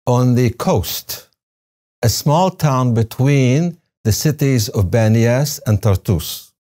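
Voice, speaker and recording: A man's voice: medium pitch, animated, takes it slowly, mellow. An older man from Syria, a native Arabic speaker with a Syrian Arabic accent, recalling his life as a guest in a studio interview. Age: 60s or older. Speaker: interview guest, retired religion professor. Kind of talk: personal recollection in an interview. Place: television studio, seated at a desk. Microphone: lapel mic.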